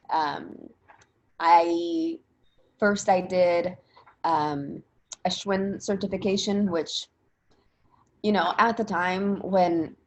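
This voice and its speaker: A woman's voice: fairly low pitch, a little mellow, a little hiss or hum. A young woman from Italy, talking casually in an interview with many fillers and restarts. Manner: chatty, rambling with frequent fillers and restarts. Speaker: interviewee, spin instructor.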